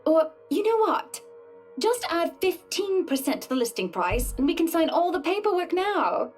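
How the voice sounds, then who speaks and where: An actress playing a wealthy, gracious but imperious socialite wife in a visual novel, genteel and condescending. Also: female voice, very high voice, sing-song, normal speed, darkish tone, soft background music. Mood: assured, imperious.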